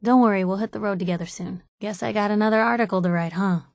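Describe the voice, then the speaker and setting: A woman's voice: mid-range voice, fast talker, darkish tone, no echo, clean studio sound, close to an everyday voice. An actress playing a sardonic, plucky young woman reporter in a video game, with wry, conversational quips. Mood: wry, musing. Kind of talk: video game companion voice acting.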